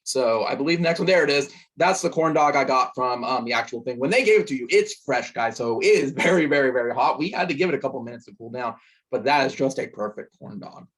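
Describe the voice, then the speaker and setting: A young man with a hoarse voice, casually recapping a football game he attended, rambling with fillers. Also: mid-range voice, animated, quick pace, fairly bright tone, rough recording. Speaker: sports podcast host.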